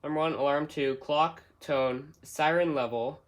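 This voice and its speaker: A man doing an unscripted product walkthrough, halting as he thinks aloud step by step. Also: fairly high pitch. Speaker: hobbyist product reviewer. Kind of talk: unscripted unboxing and setup walkthrough.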